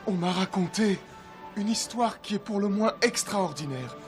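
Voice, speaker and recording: An actor playing an earnest, wide-eyed young prince who longs for love, in a dubbed animated fairy tale. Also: medium pitch, normal speed, lightly acted. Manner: earnest, wondering. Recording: music behind the voice.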